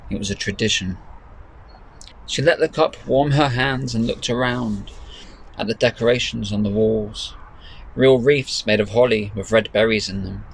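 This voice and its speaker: Low-voiced man reading a story aloud. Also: creaky, rough recording. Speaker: author reading own story. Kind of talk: dramatic storytelling narration. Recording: faint background noise.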